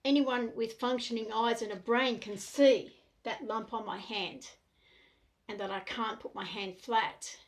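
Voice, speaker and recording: A middle-aged Australian woman speaking to the camera in an earnest, deliberate way, in short measured phrases. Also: a little high, steady tone, rough recording. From New South Wales, Australia. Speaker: self-represented complainant. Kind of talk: monologue to camera.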